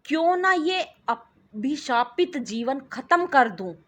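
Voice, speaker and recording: A woman storyteller voicing a distressed young girl who pleads emotionally in drawn-out words. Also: sits high, animated, a bit bright, heavily acted. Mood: despairing.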